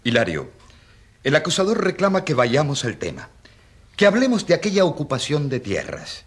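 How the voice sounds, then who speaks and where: An actor playing an ironic, defiant rural priest, eloquent and emphatic, in a radio drama. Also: male voice, a little high, huge pitch swings, normal speed. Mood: resolute.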